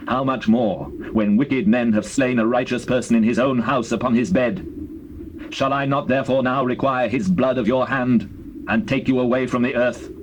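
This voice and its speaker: A man's voice: medium pitch, steady tone, normal speed, lightly acted. An actor with a British accent playing a stern, devout warrior king in a dramatized scripture, declaiming solemnly. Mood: stern, righteous. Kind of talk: dramatized scripture, British classical stage acting. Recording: faintly noisy old cassette recording.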